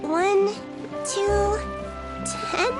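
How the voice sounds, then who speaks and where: A woman's voice: very high-pitched, steady tone, very slow, over music, full character voice. A woman voicing a sweet, naive, forgetful little girl in a video game, with a childlike, artless, slightly hesitant delivery. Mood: pondering.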